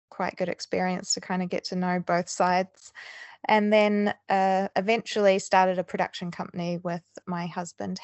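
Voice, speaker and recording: A woman's voice: fairly low pitch, darkish tone, dry recording. A woman with a New Zealand accent, a filmmaker speaking as a podcast guest, earnest and thinking aloud with many ums and restarts. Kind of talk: podcast interview.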